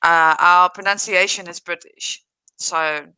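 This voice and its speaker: Bright, crisp South African woman chatting. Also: on the low side, steady tone, a little slow, dry recording, rough recording. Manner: chatty, laughs easily, talks straight to viewers. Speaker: livestream host. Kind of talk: casual livestream chat reading viewer comments.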